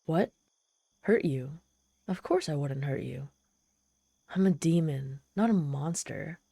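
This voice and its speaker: Casting: woman to play haughty trapped demoness for audio roleplay; lowish, dark mellow voice, tsundere pride over desperation. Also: lively intonation, slow, creaky, breathy edge, no echo, exaggerated voice. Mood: reluctant, earnest. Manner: haughty, tsundere, speaking directly to the listener. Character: proud, desperate, socially awkward trapped female demon. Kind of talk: scripted ASMR audio roleplay.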